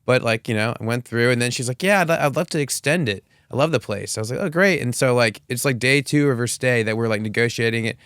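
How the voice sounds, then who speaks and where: A man co-hosting a podcast in casual chat, informal and teasing, with halting, repeated phrases. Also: medium pitch, a bit bright, smooth, no echo, studio quality. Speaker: podcast co-host.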